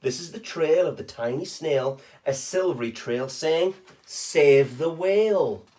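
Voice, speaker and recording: A man's voice: fairly high pitch, moderate pace, darkish tone. A man reading a rhyming children's picture book aloud expressively, with short chatty asides to young listeners.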